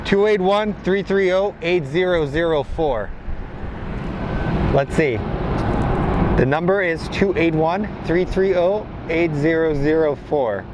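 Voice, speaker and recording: A man's voice: a little high, slow. A man with an American accent, a native Serbian speaker, chatting casually and jokingly as he hosts a live stream. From United States. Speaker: livestream host. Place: outdoors near an airport. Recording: loud outdoor airport and traffic noise.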